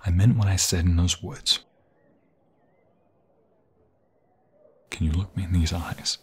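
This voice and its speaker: A man playing an anxious, tender young vampire fiancé in an intimate ASMR roleplay, halting and emotional, often dropping into a breathy whisper. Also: low-pitched, fairly even intonation, a little mellow. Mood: tender, earnest.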